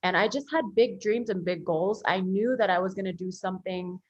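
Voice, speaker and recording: A woman's voice: mid-range voice, a little fast, breathy edge, dull audio. A woman attorney from the United States, a guest on a podcast, telling her story earnestly with many ums and you-knows. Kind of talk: podcast interview.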